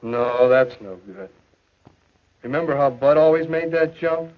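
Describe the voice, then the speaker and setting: An American actor playing an earnest, self-doubting high school boy athlete in a 1950s educational film, with stagey delivery. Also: unhurried, close to an everyday voice. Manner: earnest, stagey thinking aloud. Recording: muffled old 1950s film soundtrack.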